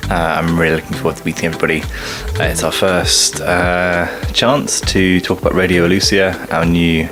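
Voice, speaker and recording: A man's voice: low voice, level tone, normal speed. A man talking casually and informally to camera as a vlogger, in an upbeat but hesitant way. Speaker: theatre director vlogging the day. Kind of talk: casual vlog talk to camera. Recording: loud music behind the voice. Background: loud music.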